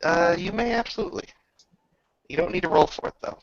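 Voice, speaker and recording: A man acting as game master, telling a tabletop roleplaying story in a measured, grandiose manner with drawn-out pauses. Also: sits high, animated, gravelly. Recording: slightly dull, roomy home recording.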